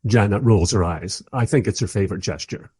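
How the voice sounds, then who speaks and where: Man playing a wry, husky film director. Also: fairly low pitch, a little fast, warm tone, no room sound. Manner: conversational, dryly sardonic, diplomatic. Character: wry, long-suffering male documentary director, placating and sardonic. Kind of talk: first-person radio play narration and dialogue.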